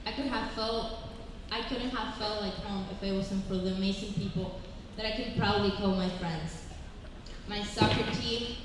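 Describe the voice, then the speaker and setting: A woman's voice: medium pitch, fairly even intonation, normal speed, background noise. A young woman from Spain with a Spanish accent, an exchange student giving a heartfelt graduation speech. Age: young adult. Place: large echoing hall. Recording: echoey hall with loud ambience.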